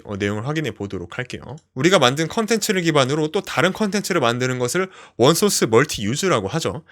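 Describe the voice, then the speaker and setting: Lively-pitched man walking through a tutorial. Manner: explanatory, lively, many fillers "어" and "뭐". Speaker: tech tutorial host. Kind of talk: screen-share tutorial.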